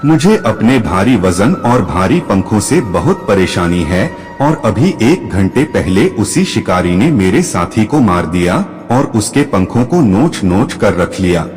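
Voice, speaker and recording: A man's voice: on the low side, very animated, measured pace, hardly any pauses, a little mellow. Man as a scared peacock, urgent, storybook, roomy echo, faint music. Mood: fearful. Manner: urgent, fearful. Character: frightened male peacock hiding from a hunter.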